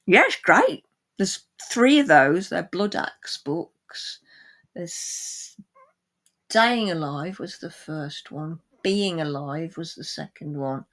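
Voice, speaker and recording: Low-voiced woman speaking at a slow pace. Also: lively intonation, fairly bright tone. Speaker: guest poetry reader and discussant. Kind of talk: poem reading and informal book chat.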